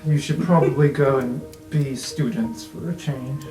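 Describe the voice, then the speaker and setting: A man's voice: mid-range voice, a little slow, lightly acted. Guy doing a blunt boastful war-seasoned wizard prof, dry and dramatic, roomy mic, music under it. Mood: dry, concerned. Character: Valentin, blunt, boastful male magic-school professor, war-seasoned.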